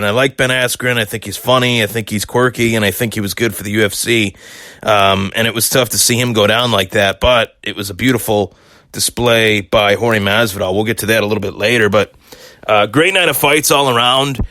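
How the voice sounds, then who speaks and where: A man hosting a solo sports podcast, hoarse and a bit hungover, rambling through fight reactions with many fillers and restarts. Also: mid-range voice, pushed and bright, no room sound. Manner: rambling, repeats himself, frequent fillers. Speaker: podcast host.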